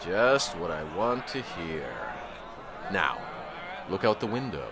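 An actor playing the pompous, preachy leader of a fanatical brotherhood in a supernatural audio drama. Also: male voice, low-pitched, big pitch swings, slow pace, crowd noise, background noise, full character voice. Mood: zealous, commanding. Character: fanatical male leader of human supremacist brotherhood.

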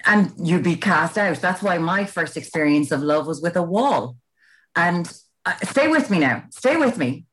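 A woman co-hosting a casual comedic chat, telling stories in an animated, teasing way. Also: lowish voice, unhurried, rough recording. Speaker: podcast co-host.

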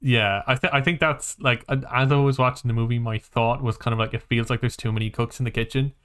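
A man's voice: medium pitch, fairly even intonation, fast, a bit bright, smooth. A man co-hosting a podcast in casual film discussion, with a hesitant, rambling way of talking that often restarts phrases. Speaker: podcast co-host.

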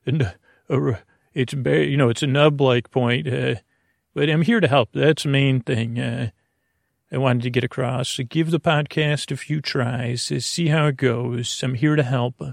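Gravelly guy doing a rambly sleep podcast, lots of hesitating and wandering off, really dry sound. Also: medium pitch, fairly even intonation, no room sound. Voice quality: gravelly, creaky. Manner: hesitant, halting, trailing off into tangents. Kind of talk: rambling, meandering bedtime monologue.

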